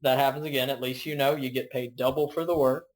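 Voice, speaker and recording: A man's voice: mid-range voice, flat delivery, fast talker. A man hosting a podcast, talking casually with a guest and with listeners. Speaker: podcast host. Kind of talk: casual interview chat.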